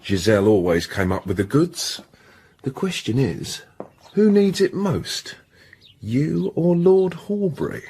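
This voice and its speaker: An actor playing an English gentleman posing as a blackmailer in a radio drama, with a British accent and polite, insinuating speech. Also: fairly high pitch, big pitch swings, unhurried, a little hiss or hum. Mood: smug, menacing.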